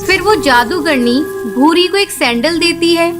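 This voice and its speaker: A woman narrates a cartoon tale in a high-pitched voice. The delivery is scripted storytelling. Music is clearly audible behind her. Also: animated, a little slow.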